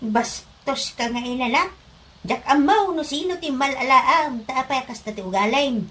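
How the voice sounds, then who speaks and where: A very high female voice from an actress plays a jealous young wife in a comedy drama. She nags and accuses with a sharp tongue and a short temper. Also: unhurried. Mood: defiant. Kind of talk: Ilocano comedy drama acting.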